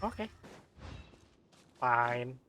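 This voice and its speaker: A man's voice: medium pitch, animated, very slow, pushed and bright, slight background noise. A man with an Indonesian accent, a game streamer reacting casually in short remarks during play. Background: game music.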